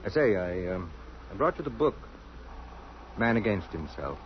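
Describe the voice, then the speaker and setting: An actor playing a courteous, world-weary, cultured doctor in an old radio drama, with a refined and hesitant manner. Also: male voice, deep voice, very animated, normal speed. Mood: resigned, gentle. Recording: muffled old radio recording, faint noise.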